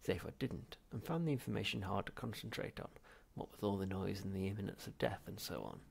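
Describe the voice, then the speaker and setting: Gravelly, husky male story narrator. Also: lowish voice, a little fast. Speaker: audiobook reader.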